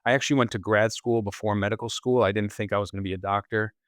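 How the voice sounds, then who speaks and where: Low-voiced man, rambling with restarts. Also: steady tone, fast talker, fairly bright tone, no room sound, studio quality. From Israel. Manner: rambling, frequent restarts and repeated words. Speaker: podcast guest, surgeon. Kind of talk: casual interview conversation.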